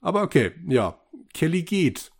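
A man's voice: fairly high pitch, sing-song, moderate pace, gravelly. A man co-hosting a podcast, casually retelling an audio drama episode and acting out quoted bits as he goes. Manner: acts out quoted lines while retelling. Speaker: podcast co-host.

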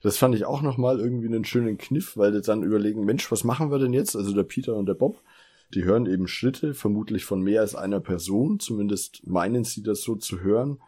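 A man with a low, rough-edged voice. He talks as a podcast co-host in loose, casual discussion, keen on the story he retells and slipping into quoted dialogue. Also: a little fast, warm tone. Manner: enthusiastic, quotes characters' lines while retelling.

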